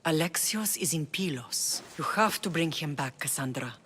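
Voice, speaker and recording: A woman's voice: deep voice, expressive, normal speed, fairly bright tone, slightly rough, full character voice. An actress playing an earnest, middle-aged mother of royal Spartan blood in a dramatic video game, solemn and heartfelt. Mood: pleading. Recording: music behind the voice.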